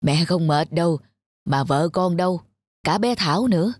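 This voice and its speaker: A woman voicing a kindly old country grandmother in a children's cartoon, gentle and reassuring. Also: on the low side, lively intonation, moderate pace, dry recording. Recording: music behind the voice. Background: background music.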